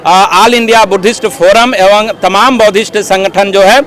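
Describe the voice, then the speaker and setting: A man's voice: sits high, fairly even intonation, normal speed, a bit bright. A man being interviewed as an activist, speaking formally and earnestly as he argues his case at length, with habitual filler phrases. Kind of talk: outdoor street interview, explanatory speech.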